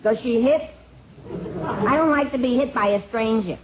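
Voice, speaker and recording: A man's voice: very high-pitched, a little slow, phone-quality sound, a crowd in the background. A man playing a childish, lovesick henpecked husband with a plaintive, naive whine in a 1950s radio comedy sketch. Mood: anxious, naive. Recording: noisy band-limited 1950 radio, loud audience.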